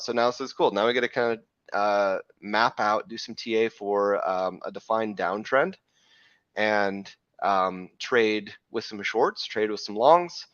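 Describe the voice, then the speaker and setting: A man hosting a live crypto trading show, talking through chart analysis in a rambling way, correcting himself, with a slightly hoarse voice from losing it. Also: on the low side, fairly bright tone, no room sound, highs cut off. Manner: rambling, self-correcting, frequent "right?" checks.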